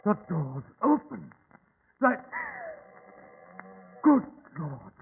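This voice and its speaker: An animated, well-bred radio-drama nephew. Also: male voice, high voice, drawn-out words, gravelly, a little hiss or hum, heavily acted. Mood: uneasy, alarmed. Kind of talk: old-time radio drama acting. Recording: tinny old radio recording.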